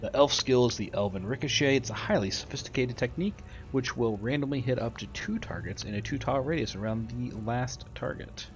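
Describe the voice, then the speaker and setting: Man, casual gameplay commentary, low-key matter-of-fact delivery, reading game tutorials aloud. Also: brisk, hardly any pauses, highs cut off, faint music behind. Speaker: gamer doing a playthrough.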